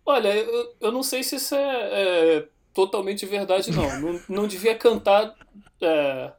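A man with a Brazilian Portuguese accent, a software developer guesting on a podcast and chatting in a relaxed way, with many fillers and restarts. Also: high voice, normal speed. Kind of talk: casual chat.

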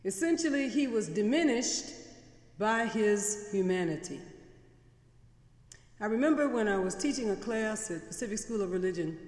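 A woman's voice: mid-range voice, darkish tone. Middle-aged woman preaching in echoing church. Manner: conversational storytelling, building emphatic repetition. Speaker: pastor, guest preacher. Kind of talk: sermon. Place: large church. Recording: reverberant church hall.

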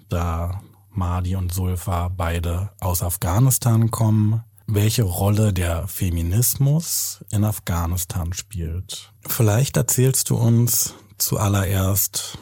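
Guy hosting a podcast, soft dark voice, takes his time, measured questions. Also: on the low side, husky, no echo, clean studio sound. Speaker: podcast host. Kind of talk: measured interview moderation.

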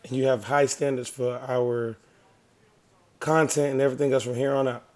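A man co-hosting a podcast in casual banter, playfully nosy and egging the others on, with a gravelly voice. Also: medium pitch, flat delivery, moderate pace, darkish tone. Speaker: podcast co-host.

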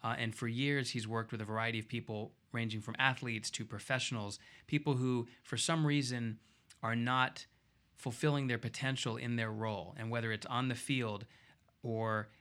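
Male radio host, mid-pitched voice, explanatory monologue, emphatic phrasing, deliberate pauses. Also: steady tone, fairly bright tone. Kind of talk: radio talk show monologue introduction.

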